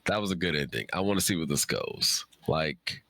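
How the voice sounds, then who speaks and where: A man's voice: a bass voice, lively intonation, normal speed, a bit bright, no echo. A man in his thirties chatting casually and informally as an author on a livestream Q&A. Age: 30s.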